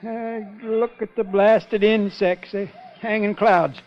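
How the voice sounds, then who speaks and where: High-pitched, weary bearded sailor. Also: male voice, steady tone, takes it slowly. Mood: wary, protesting. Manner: blunt old-time seafaring speech. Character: big bearded sailor, strong but wary and weary. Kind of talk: 1950s radio drama acting. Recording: dull 1950 radio broadcast.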